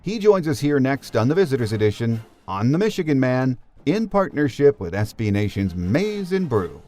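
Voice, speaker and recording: A man's voice: medium pitch, very animated, moderate pace, warm tone. An American man hosting a sports podcast, giving commentary and talking with guests. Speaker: podcast host. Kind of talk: sports podcast monologue and interview.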